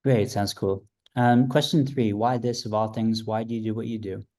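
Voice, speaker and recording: A man hosting a podcast, working through a numbered list of questions in a brisk, steady way. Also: fairly low pitch. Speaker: podcast host.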